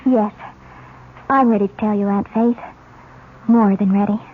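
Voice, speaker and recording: An actress in a 1970s radio mystery playing a sly teenage psychic girl, with a breathy, theatrical delivery. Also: mid-range voice, steady tone, normal speed, a little hiss or hum, close to an everyday voice. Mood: composed. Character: sly teenage girl psychic, taunting, feigning eerie trances. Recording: tinny old radio recording with music.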